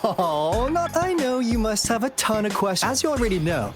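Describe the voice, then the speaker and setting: A man's voice: high-pitched, very animated, normal speed. An actor playing a sly, playful male information broker in a video game, with teasing, theatrical salesman patter. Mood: playful, salesmanlike. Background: music.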